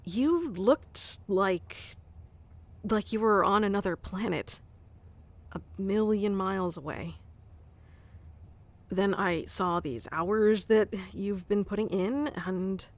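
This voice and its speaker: Stubborn lady boss, stern but caring, a woman acting it, talks slow and soft right into the mic, mid pitch. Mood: tender, worried. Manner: stern yet caring, soft intimate delivery. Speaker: ASMR roleplay performer. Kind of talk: ASMR audio roleplay.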